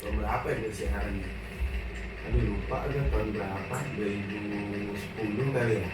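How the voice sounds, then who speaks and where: A man from West Sumatra with a Minang accent, a guest chatting casually and telling his career story in lively slang. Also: lowish voice, moderate pace. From West Sumatra, Indonesia (Padang). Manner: animated storytelling in slangy speech. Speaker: guest, actor and radio host. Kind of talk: casual chat. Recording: echoey room, far from the mic, with music.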